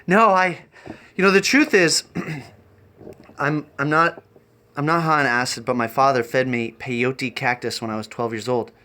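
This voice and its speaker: A man chatting off the cuff on a livestream, rambling and halting in an eccentric way. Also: medium pitch, sharp tone. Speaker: live streamer and variety performer. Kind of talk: improvised livestream chat.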